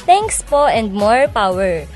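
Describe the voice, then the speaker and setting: A woman's voice: a little high, very animated, slow. A young woman with a Filipino accent chatting casually and politely as a guest DJ on the radio. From Philippines, Cagayan province. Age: young adult. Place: radio studio. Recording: music bed behind voice.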